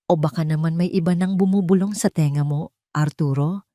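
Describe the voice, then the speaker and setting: A woman's voice: low voice, fairly quick, dark tone, dry recording, studio quality, close to an everyday voice. A woman voicing a cold, sarcastic male tycoon in an audio drama, with a clipped, commanding manner.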